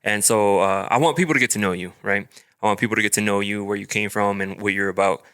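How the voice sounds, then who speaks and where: A man's voice: lowish voice, steady tone, quick pace, fairly bright tone, clean tone. A man hosting a podcast interview, chatting casually and rambling with lots of fillers. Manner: rambling, filler-heavy, friendly. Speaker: podcast host.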